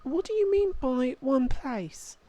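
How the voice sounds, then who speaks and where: A man's voice: very high-pitched, expressive, slow, warm tone, pitched above the performer's own voice, full character voice. A man voicing an eager, polite young boy who is easily indignant, in a video game dub. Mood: anxious, polite.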